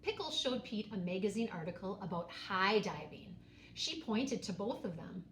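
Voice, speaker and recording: A woman reads a children's picture book aloud in an expressive, dramatic way, pausing and stressing key words. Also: medium pitch. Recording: roomy echo, faint background noise.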